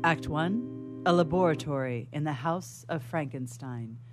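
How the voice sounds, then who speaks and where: A woman's voice: low-pitched, measured pace, music in the background. A woman narrating a radio play, reading scene announcements in a theatrical way.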